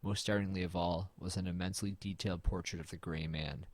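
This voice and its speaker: A man's voice: sits low, fairly even intonation, quick pace, no echo. A man playing a weary, haunted man who narrates his own horror story in a glum, understated way in an audio drama. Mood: somber, resigned. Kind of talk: first-person scripted audio drama narration and dialogue.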